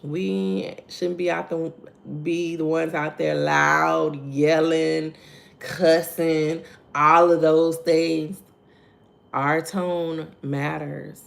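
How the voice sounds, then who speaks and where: A soft-spoken woman with a Texan accent, leading a slow, conversational morning devotional and greeting viewers by name. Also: low-pitched, flat delivery, creaky. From Texas. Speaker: devotional host. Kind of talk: live-streamed morning devotional.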